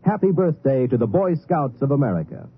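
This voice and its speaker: A man's voice: medium pitch, sing-song, fairly quick, slightly rough. A man reading a scripted 1950s radio public service announcement in a formal, earnest announcer's style. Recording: very narrow, tinny old radio broadcast.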